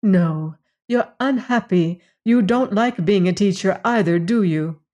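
A woman reading aloud and voicing a calm, gently teasing alien woman from another planet. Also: mid-range voice, measured pace, lightly acted. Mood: gentle.

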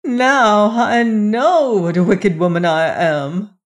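A woman's voice: medium pitch, slow pace, natural voice. A woman voicing a guilt-stricken, fearful wicked queen and stepmother in a storybook, moaning and lamenting in exclamations. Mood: shocked.

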